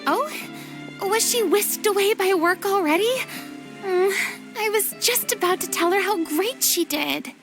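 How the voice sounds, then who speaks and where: A woman's voice: extremely high pitch, a little slow, music in the background. A woman playing a theatrical, exuberant young female film director in a video game, dramatic and effusive in manner. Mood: chatty, persuasive. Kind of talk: video game character acting.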